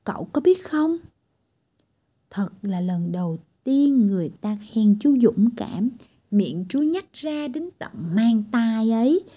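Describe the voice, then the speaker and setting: Woman storyteller, children's fairy-tale reading, slow and very mellow, lively pitch. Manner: gentle, expressive reading.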